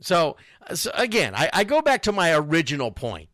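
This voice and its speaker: Male sports talk host, blunt and emphatic, slightly high-pitched with a sharp, forceful edge. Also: huge pitch swings, normal speed, no room sound, studio quality. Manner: blunt, emphatic, repeats phrases for stress. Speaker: youth football radio show host. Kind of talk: informal sports talk monologue.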